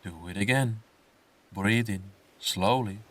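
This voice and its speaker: A man narrating a scripted guided meditation in a soothing, unhurried way, with phrases set apart by pauses. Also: lowish voice, far from the mic. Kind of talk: slow scripted guided meditation with comic swearing.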